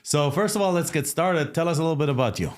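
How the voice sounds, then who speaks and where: A man's voice: on the high side, expressive, fast. Friendly chatty interview host man. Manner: friendly, restarts and repeats words when asking questions. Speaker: podcast host. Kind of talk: casual interview conversation.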